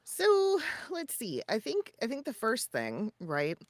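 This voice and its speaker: A woman's voice: fairly high pitch, wildly swooping pitch, slow, no room sound. A woman co-hosting a casual livestream, chatting and giving advice conversationally. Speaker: co-host.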